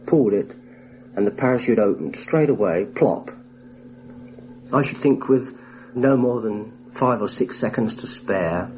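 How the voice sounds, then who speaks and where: A man, a former airman, recalling his wartime experience in a measured, matter-of-fact way for a radio documentary interview. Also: medium pitch, dark tone. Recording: muffled 1960s radio recording, hissy.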